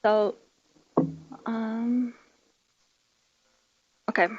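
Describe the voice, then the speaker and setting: A woman whose first language is Kazakh and who speaks English with a Kazakh and Russian accent. She was born deaf and gives a conference talk in careful, halting speech with many pauses and restarts. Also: a little high, level tone, dull audio. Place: conference hall.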